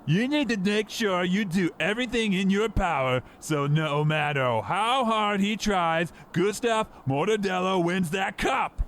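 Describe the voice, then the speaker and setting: A man's voice: high voice, unhurried, few pauses, a bit bright, slight background noise. A man voicing a nagging, doting working-class mother in a comic old-time radio play. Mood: doting, firm. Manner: chiding, matter-of-fact.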